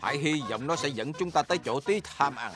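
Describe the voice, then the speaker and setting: A man voicing a wise, concerned elderly elf leader with a gravelly voice in a children's cartoon. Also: a little high, lively intonation, fairly quick, natural voice. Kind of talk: dubbed children's cartoon acting. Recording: music behind.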